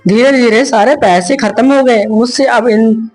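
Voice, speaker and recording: Woman voicing a high, earnest poor village man. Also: unhurried, darkish tone, faint music behind. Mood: despairing. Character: poor, honest, worried male villager and husband. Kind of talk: cartoon story acting.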